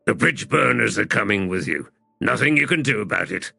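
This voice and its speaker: A man's voice: on the low side, lively intonation, fairly quick, gravelly, bright, forceful tone, breathy edge, dry recording, soft background music, heavily acted. A man voicing a stubborn, scrappy army sapper in a fantasy audiobook, blunt, insistent and impassioned.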